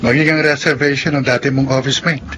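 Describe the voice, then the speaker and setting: A man's voice: medium pitch, steady tone, a little fast, sharp tone, soft background music, natural voice. A man with a Filipino accent playing a worried, grateful older brother and restaurant worker in a radio drama, earnest and anxious in manner.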